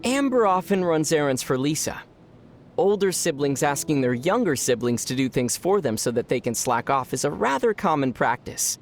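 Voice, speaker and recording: An actor playing a calm young male traveler hero in a video game who answers in a dry, deadpan way. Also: a little high, normal speed, faint music behind. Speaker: player character. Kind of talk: video game character banter.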